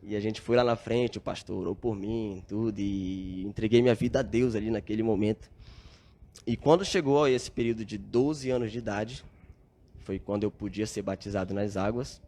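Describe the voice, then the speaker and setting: A man's voice: fairly low pitch, fairly even intonation, normal speed, dark tone. Young brazilian guy on a podcast, earnest, reflective, lots of little filler tags. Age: young adult. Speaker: podcast guest. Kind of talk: casual storytelling interview.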